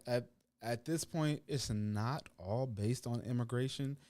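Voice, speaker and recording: Mellow-voiced male panel host. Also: medium pitch, no echo, clean studio sound. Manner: rambling, restarts words, swings in loudness. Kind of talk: panel discussion moderation.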